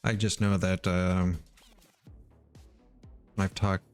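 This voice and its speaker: A middle-aged man from Missouri thinking aloud while he writes code, halting with many ums and uhs. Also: sits low, flat delivery, smooth, soft background music. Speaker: live-coding streamer.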